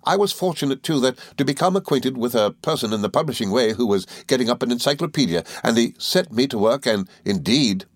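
A man voicing a cheerful, good-natured young gentleman law student in an audiobook, eager and flustered, with little stammering hesitations. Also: mid-range voice, animated, a little fast, hardly any pauses, no echo, clean studio sound, pitched above the performer's own voice, lightly acted. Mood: chatty, confident. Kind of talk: audiobook character dialogue.

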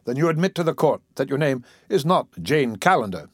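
A man voicing a composed young Southern prosecuting attorney, questioning in formal, measured speech. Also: mid-range voice, big pitch swings, slightly rough, no room sound, clean studio sound.

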